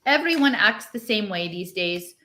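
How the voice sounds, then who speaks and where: A bright, forceful voice for a harried storybook innkeeper acted out by a woman. He is a husband and father who grumbles, protests and defends himself, irritable with everyone around him. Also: medium pitch, lively intonation, moderate pace. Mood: regretful. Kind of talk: expressive storybook read-aloud.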